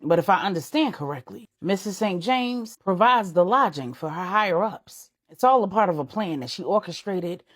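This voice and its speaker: A woman voicing a calm male general in an audiobook, a loyal subordinate who explains things in a measured way. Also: lowish voice, pitched below the performer's own voice. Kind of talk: audiobook character dialogue.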